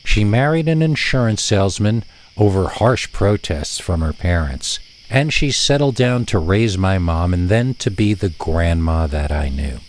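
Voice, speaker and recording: Low-voiced man telling a story, crickets behind. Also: animated, measured pace, a little hiss or hum. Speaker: story narrator. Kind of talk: scripted storytelling narration.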